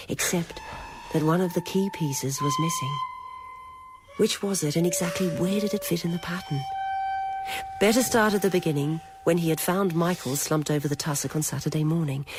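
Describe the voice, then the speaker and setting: A narrator reading a novel aloud in a measured, literary storytelling manner. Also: mid-range voice, noisy background. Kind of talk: scripted audiobook-style narration of a novel.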